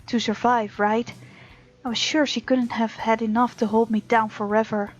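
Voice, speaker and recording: A woman's voice: on the high side, flat delivery, moderate pace, highs cut off. A woman hosting a let's play, reading game text aloud and reacting casually and expressively. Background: faint game music.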